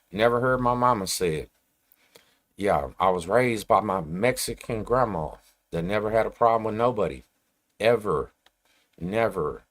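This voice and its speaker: A man's voice: fairly low pitch, a bit bright. A man, hosting his channel and ranting to camera in emphatic streetwise slang. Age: 40s. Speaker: host. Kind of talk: casual rant to camera.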